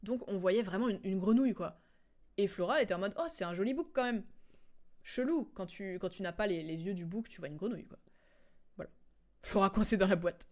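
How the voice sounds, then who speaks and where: A woman with a medium-pitched voice comments on a game for her stream. She is chatty and informal, piecing the story together as she goes and often halting to remember.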